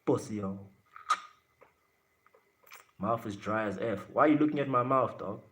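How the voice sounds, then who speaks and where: A man's voice: on the low side, a little slow, noisy background. A man from Africa, chatting casually on a livestream and answering viewer comments bluntly, swearing and trash-talking as he goes. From South Africa. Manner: blunt, brash, swears and trash-talks chat.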